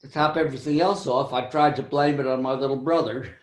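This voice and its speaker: An older man with a high-pitched voice tells a wry, self-deprecating story about his own life in a club speech over a video call. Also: fairly even intonation, brisk, rough recording. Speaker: club speaker.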